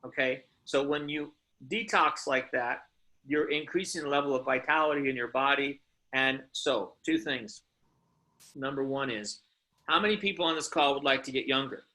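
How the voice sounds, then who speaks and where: A man hosting a conference call, giving an emphatic, earnest and preachy motivational sales pitch and putting questions to his listeners. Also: normal speed, bright, forceful tone, poor audio.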